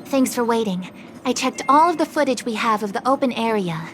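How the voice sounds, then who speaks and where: A woman's voice: a little high, expressive, slight background noise, close to an everyday voice. A woman playing a polite, dutiful official in a video game, speaking in a formal, careful, helpful way. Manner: formal, measured, courteous.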